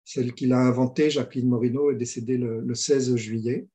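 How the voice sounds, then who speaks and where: Man, mediator, mellow warm tone, hesitant, lots of restarts, storytelling. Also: mid-range voice, steady tone, a little fast. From France. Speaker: mediator guest. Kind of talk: conversational interview.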